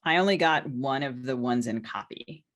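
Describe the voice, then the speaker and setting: A woman in casual podcast conversation as co-host and guest, wry and joking, pausing and hedging as she talks. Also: very deep voice, a bit bright. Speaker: podcast co-host and novelist being interviewed.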